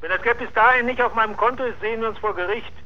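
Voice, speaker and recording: An actor in a German radio drama playing an irate creditor, indignant and threatening, over a phone. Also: male voice, very high voice, very fast, highs cut off. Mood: outraged. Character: irate male creditor demanding his money.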